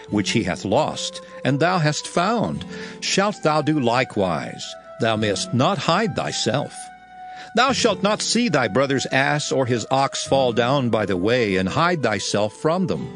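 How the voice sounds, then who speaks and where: A man narrating scripture in a solemn, declamatory style, as in a dramatized Bible reading. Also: mid-range voice.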